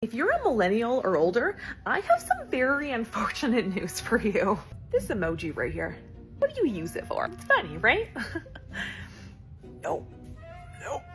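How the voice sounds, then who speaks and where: A woman's voice: on the high side, wildly swooping pitch, a little slow, long pauses, fairly bright tone, faint music behind. A woman talking playfully to camera, giggling between lines. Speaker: social media poster. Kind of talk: casual talk to camera.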